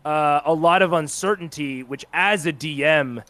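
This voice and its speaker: A man's voice: a little high, normal speed, fairly bright tone. A man speaking as an interview guest, informally explaining how he runs tabletop games. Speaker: guest, content creator and game master. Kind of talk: casual interview chat about tabletop games.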